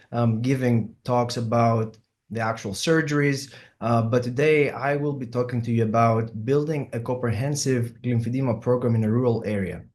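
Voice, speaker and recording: A middle-aged man from Greece with a Greek accent, a surgeon giving an online symposium talk and often pausing with ums and uhs. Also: medium pitch, a little mellow. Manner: hesitant, many ums and uhs. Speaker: plastic surgeon presenting.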